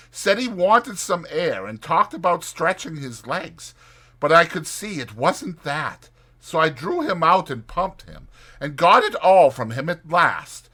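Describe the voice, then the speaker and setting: A man's voice: on the high side, bright, forceful tone, pitched above the performer's own voice, exaggerated voice. A man voicing an earnest, worried male water rat in a dramatized storybook reading, speaking in a grave, emphatic way.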